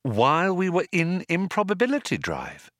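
A man's voice: sits high, huge pitch swings, moderate pace, fairly bright tone, no echo, clean studio sound, voice pushed up, exaggerated voice. Man voicing a patient, lilting, compassionate woman. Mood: matter-of-fact. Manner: lilting, soothing.

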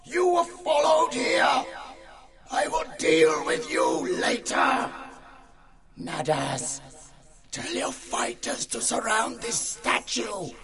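Shrieking, slow, wrathful evil idol. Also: male voice, extremely high pitch, sing-song, creaky, shouting, over music, voice pushed up, full character voice. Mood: wrathful, commanding. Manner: slow, imperious, bellowing.

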